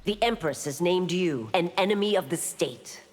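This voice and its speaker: An actress playing a stern, resolute law officer and warrior in a video game, with a firm, formal manner. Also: female voice, on the low side, lively intonation, moderate pace, a little mellow. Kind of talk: video game heroine acting. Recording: reverberant arena with clear background noise.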